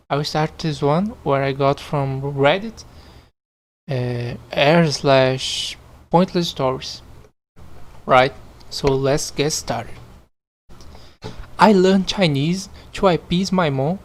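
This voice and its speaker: Slow-talking host. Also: mid-range voice. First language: Portuguese. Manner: chatty asides with frequent chuckles and mild swearing. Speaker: podcast host. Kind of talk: casual reading of online stories.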